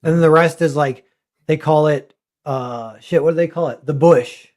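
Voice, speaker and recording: A man's voice: a little high, moderate pace, a little mellow. A man chatting casually on a podcast, joking and chiming in. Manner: joking, interjecting. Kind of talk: casual chat.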